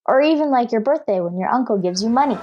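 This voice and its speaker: Plucky little American girl played by a woman, slightly high voice, earnest recitation, vintage radio sitcom with faint noise. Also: expressive, muffled recording, close to an everyday voice. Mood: earnest, reciting. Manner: deliberate recitation, stubborn. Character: earnest, plucky fourth-grade schoolgirl, easily put out. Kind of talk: old-time American radio sitcom acting. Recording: noisy old radio recording.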